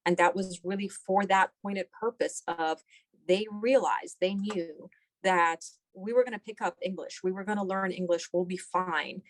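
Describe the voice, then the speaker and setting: A woman's voice: mid-range voice, fairly even intonation, moderate pace, no echo. Middle-aged woman, doctor interviewee, conversational, explanatory, repeats phrases for emphasis, dry sound.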